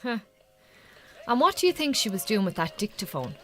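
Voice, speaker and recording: An actress playing a suspicious, prickly Irish farmer's wife, with a sharp, sarcastic way of talking, in a naturalistic radio drama. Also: female voice, mid-range voice, sing-song, normal speed, background noise, lightly acted. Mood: suspicious, hurt.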